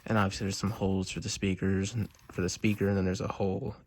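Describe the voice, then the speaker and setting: A man's voice: deep voice, little pitch movement, fast talker, darkish tone. A man giving a casual, unscripted product review, talking in a rambling, hesitant way at a desk. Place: indoors at a desk. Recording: clear background noise, homemade video.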